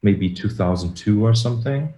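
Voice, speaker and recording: A man's voice: fairly low pitch, normal speed, dark tone. Guy chatting, keeps restarting sentences, echoey room. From Germany. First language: German. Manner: hesitant, searching for words, many restarts. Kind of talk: casual conversation.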